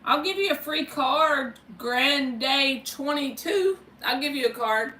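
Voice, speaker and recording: A woman with a fairly high, bright, forceful voice reads tarot on a casual livestream. She talks informally and directly to her viewers. The sound is roomy and a little distant from the mic. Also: lively intonation, takes it slowly. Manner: informal, chatty, addresses viewers by name, mild swearing. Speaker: tarot card reader and livestream host. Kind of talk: casual livestream chat with spiritual tarot readings.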